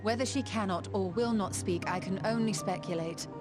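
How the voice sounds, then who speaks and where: An actress playing a composed, caring young heroine in a fantasy video game, with formal, old-fashioned phrasing. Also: female voice, mid-range voice, normal speed, natural voice. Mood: solemn. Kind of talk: scripted video game voice acting. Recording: background music under the voice.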